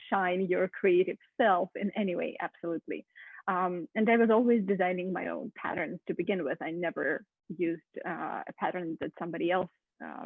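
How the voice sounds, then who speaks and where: Husky woman on a tinny call. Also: mid-range voice, no room sound. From Ukraine. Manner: chatty, many ums and uhs, laughs often. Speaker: guest, knitwear designer. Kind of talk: casual interview chat. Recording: tinny phone-like video call.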